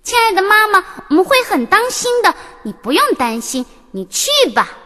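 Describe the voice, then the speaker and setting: Wary little storybook goat kids. The childlike voice is extremely high and sharp, bouncing with animated intonation. It echoes in a long reverb. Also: female voice, moderate pace, full character voice. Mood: reassuring. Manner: childlike, emphatic, piping. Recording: reverberant hall-like echo.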